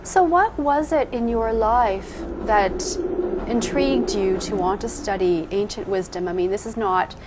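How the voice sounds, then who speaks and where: A woman's voice: mid-range voice, a little slow, very noisy. A woman hosting a talk show, asking her guest conversational, probing follow-up questions. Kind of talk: interview questions.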